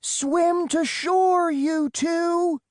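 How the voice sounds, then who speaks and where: A man's voice: extremely high pitch, fairly even intonation, extremely slow, no echo, clean studio sound, pitched above the performer's own voice, full character voice. A man voicing a brave, bossy teenage big sister in a children's audiobook, calling out in a commanding, matter-of-fact way. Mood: confident, matter-of-fact. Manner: commanding, shouted delivery.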